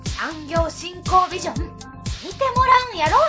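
Very shrill blunt Kansai auntie. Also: female voice, very animated, normal speed, over loud music. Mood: mocking. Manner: blunt, mocking. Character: blunt, nosy, sharp-tongued middle-aged auntie. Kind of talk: comic web radio drama acting.

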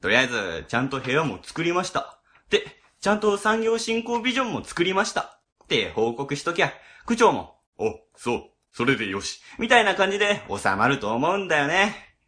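A man's voice: a little high, sing-song, normal speed, sharp tone. A man playing a harried, grumbling but easygoing office section chief in an amateur radio drama, explaining things casually with exasperation. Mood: nonchalant.

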